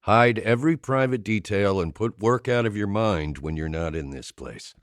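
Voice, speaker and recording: An actor playing a cynical, calculating fight-show adviser, coaching in a controlled, matter-of-fact way in an audiobook drama. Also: male voice, lowish voice, moderate pace, dry recording, clean studio sound, lightly acted. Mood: advising, cynical.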